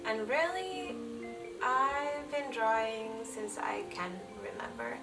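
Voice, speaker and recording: A woman's voice: sits high, lively intonation, takes it slowly, recorded from a distance. A young woman whose first language is Finnish, chatting casually and laughing lightly as she answers viewer questions. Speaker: illustrator vlogger. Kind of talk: casual Q&A chat. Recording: voice set back from the mic, music underneath.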